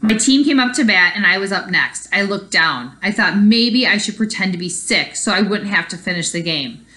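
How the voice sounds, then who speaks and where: A woman's voice: mid-range voice, expressive, moderate pace, sharp tone, rough recording. A woman teacher reading a children's story aloud expressively and explaining it to young pupils. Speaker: elementary school teacher.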